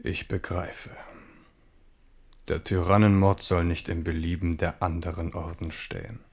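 An embattled king with a low voice. He is proud and wry, putting his questions with pointed irony and scepticism, in the style of a radio play. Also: level tone, measured pace, gravelly, husky, heavily acted. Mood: wary, ironic. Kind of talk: German radio play acting.

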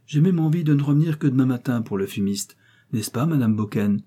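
Brief: man doing a sly, gushing woman neighbor, storybook reading, husky and high. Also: expressive, brisk, warm tone, close to an everyday voice. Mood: effusive. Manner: fussy, pleading and effusive. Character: sly, chattering woman neighbor, fussy and ingratiating.

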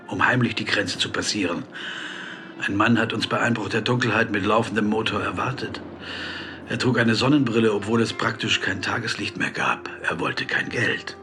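An actor playing a probing, wry blacklisted American screenwriter in a radio drama. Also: male voice, on the low side, sharp tone.

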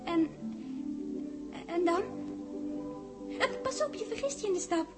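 An actress playing a gentle, eerie young woman in a 1960s Dutch radio play, hushed and dreamy, often slipping into a whisper. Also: extremely high pitch, expressive, measured pace. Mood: tender, playful. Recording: 1961 radio recording, music behind.

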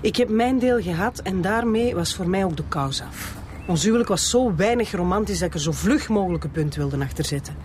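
A woman's voice: mid-range voice, animated, brisk, a little mellow, a little hiss or hum, lightly acted. An actress playing a curt, defensive divorced Flemish woman under questioning in a radio drama. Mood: indignant.

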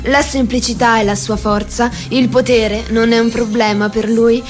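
Woman as radio drama narrator, really high voice, clipped observational delivery, bit of music under it. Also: level tone, normal speed, natural voice. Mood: measured. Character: watchful female narrator of a political satire. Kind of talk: scripted radio theatre narration.

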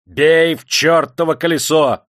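A man's voice: fairly high pitch, expressive, a little slow, dry recording, studio quality, voice pushed up. A man voicing a despotic, hot-tempered Russian factory owner in an audiobook, barking and taunting imperiously. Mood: enraged.

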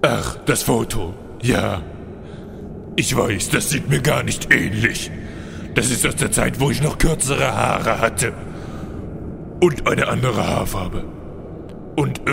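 An actor playing an evil, blustering ruler of a flying necropolis who gets easily flustered in a comic radio play. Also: male voice, mid-range voice, expressive, a little slow, vocal fry, pauses often, background noise. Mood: sheepish. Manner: hesitant stammering that flips into irritable scolding.

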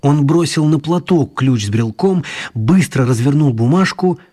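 A man narrating a children's radio play as scripted, expressive storytelling. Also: on the high side, unhurried.

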